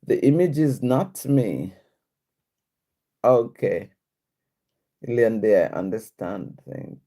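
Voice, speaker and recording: A woman's voice: a bass voice, animated, takes it slowly, warm tone, breathy edge. A woman hosting a casual live stream, reading viewer comments aloud and answering them in a chatty way with frequent laughs.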